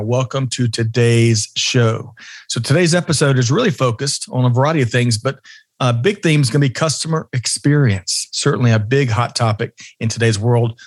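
A man's voice: medium pitch, dry recording, clean studio sound. A man hosting a business podcast, upbeat and friendly, asking questions in a casual interview. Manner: upbeat, friendly, uses fillers. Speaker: podcast host.

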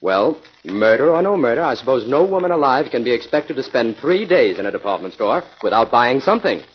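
An actor with an American accent playing a confident, analytical crime-solver in a 1940s radio drama, speaking in an assured, explanatory way. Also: male voice, medium pitch, sing-song, brisk, natural voice. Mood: wry. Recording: dull 1940s radio recording.

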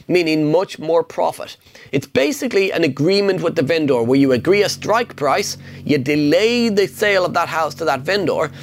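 A middle-aged man hosting a casual Q&A, answering viewer questions on property investing in an upbeat, emphatic way. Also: fairly high pitch. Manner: upbeat, emphatic, punchy repetition.

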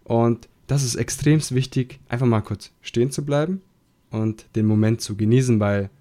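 A man's voice: mid-range voice, moderate pace, mellow. A man from Italy who speaks German with an Italian accent, a podcast host talking in an earnest, musing way. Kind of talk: conversational podcast talk.

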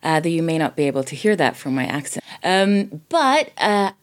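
A woman's voice: low voice, big pitch swings, moderate pace. A woman in her thirties from Sweden, a native Swedish speaker, chatting casually as a podcast guest. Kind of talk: casual chat.

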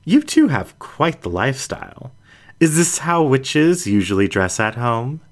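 A man's voice: mid-range voice, very animated, a little slow, pitched above the performer's own voice. A man voicing a guarded, wry female fennec fox reporter in an audiobook, polite and professional. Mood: wry, probing. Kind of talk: audiobook character dialogue.

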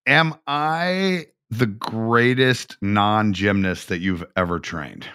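A man's voice: fairly low pitch, slow pace, pushed and bright, clean tone, dry recording, clean studio sound. A man hosting a podcast, asking questions in a playful, joking manner. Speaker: podcast host. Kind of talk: casual interview.

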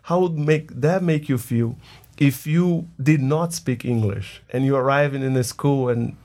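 A man's voice: mid-range voice, measured pace, a little mellow. A man who leads a drumming program, speaking in an interview conversation and posing rhetorical questions to a guest. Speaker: music program leader.

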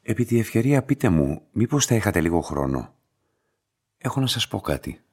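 An actor playing an enigmatic, courteous laboratory director in a radio drama, formal, deliberate and softly insinuating, with a husky voice. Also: male voice, on the low side, sing-song. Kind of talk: slow dramatic radio acting. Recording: long reverberant room echo.

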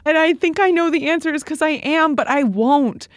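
A woman's voice: sits high, normal speed, dry recording, clean studio sound. A woman co-hosting a comedy podcast in casual, exaggerating, self-deprecating, sweary chat. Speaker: podcast co-host and producer.

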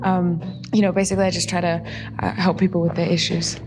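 An actress playing an awkward, modest young mental health influencer, speaking haltingly in naturalistic film dialogue over loud music. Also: female voice, lowish voice, little pitch movement, close to an everyday voice. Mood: awkward, hesitant. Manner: hesitant, halting.

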